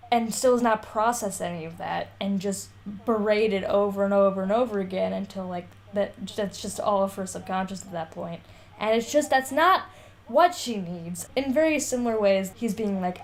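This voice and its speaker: A woman co-hosting a podcast, talking through a book casually in an informal, blunt, sweary way. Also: medium pitch. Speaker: podcast co-host.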